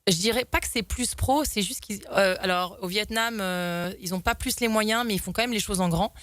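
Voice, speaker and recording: A woman's voice: mid-range voice, moderate pace, fairly bright tone, no room sound. Woman guest, young adult, rambling storyteller, fillers, dry close sound. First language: French. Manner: rambling, many fillers and restarts. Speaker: talk show guest. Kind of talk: casual storytelling in an interview.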